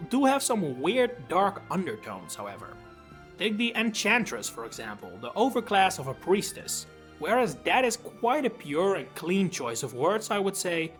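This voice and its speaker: Lively Dutch-accented man narrating. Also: sits high. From Netherlands. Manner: expressive, enthusiastic, with wry asides. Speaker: YouTube host. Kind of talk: scripted video essay narration. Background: faint background music.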